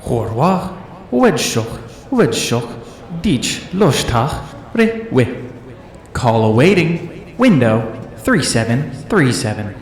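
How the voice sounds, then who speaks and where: Man voicing a very slow, echoing alien announcer. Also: mid-range voice, big pitch swings. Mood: impersonal. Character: impersonal alien announcer calling out window numbers. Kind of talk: radio play acting.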